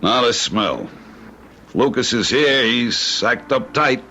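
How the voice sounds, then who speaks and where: Sharp, bright-voiced teasing cop. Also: male voice, medium pitch, expressive, slow, heavily acted. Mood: brisk, teasing. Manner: clipped, slangy, needling. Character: brisk, teasing male police colleague. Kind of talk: old-time radio drama acting. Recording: old radio recording with faint noise.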